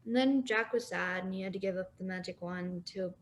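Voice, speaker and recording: A woman's voice: lowish voice, brisk, slight background noise, natural voice. A young woman playing a shifty fairytale troublemaker who feigns innocence in a comic stage play. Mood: mock storytelling. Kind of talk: comic stage acting over video call.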